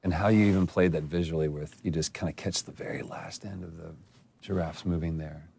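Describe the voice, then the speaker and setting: A man giving casual, thoughtful commentary as an actor discussing his game role, with halting phrasing. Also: deep voice, fairly even intonation, vocal fry, dark tone, slightly rough.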